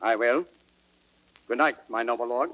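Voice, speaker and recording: An actor playing a formal, deferential sheriff in old radio Shakespeare style. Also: male voice, mid-range voice, moderate pace, a bit bright, close to an everyday voice, rough recording. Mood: respectful, firm. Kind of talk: 1930s radio Shakespeare acting.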